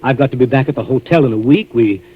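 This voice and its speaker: An actor playing a folksy, insistent hotel keeper in a 1940s radio mystery, courting with a coaxing, familiar manner. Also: male voice, medium pitch, quick pace, close to an everyday voice. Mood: persuasive. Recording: dull, noisy vintage 1940s radio recording.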